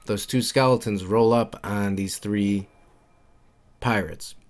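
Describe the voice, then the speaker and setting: Low-voiced man narrating a game walkthrough. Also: fairly even intonation, slow pace. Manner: instructional, informal, repeats key points. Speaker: game guide creator and narrator. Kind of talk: casual walkthrough commentary.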